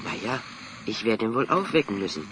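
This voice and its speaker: An actor playing a level-headed young adventurer hero, articulate and courteous, in a children's audio drama. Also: male voice, medium pitch, very animated, brisk, noisy background, natural voice. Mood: resolute, cautious.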